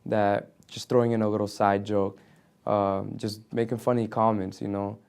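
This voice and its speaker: A man's voice: on the low side, level tone, moderate pace, vocal fry, darkish tone, clean tone. A young man, a student, earnestly explaining what keeps him engaged. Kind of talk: interview answer.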